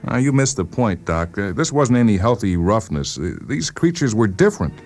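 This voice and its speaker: A man voicing a defensive, suspicious psychiatric patient with an insistent, halting delivery in a radio story reading. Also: lowish voice, sing-song, a little mellow. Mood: earnest, bitter. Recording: voice over background music.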